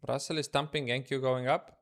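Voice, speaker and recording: A man's voice: mid-range voice, steady tone, brisk, fairly bright tone. A man with an Estonian accent, a native Estonian speaker, chatting casually in loose English on a live trading stream from his room, thinking aloud and answering chat. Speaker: day trader streaming live.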